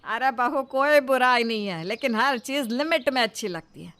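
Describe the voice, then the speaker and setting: A woman acts an indignant older mother-in-law from a family storybook tale. Her voice is bright and forceful, raised high, as she scolds and complains in exasperation. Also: lively intonation, normal speed. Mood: exasperated.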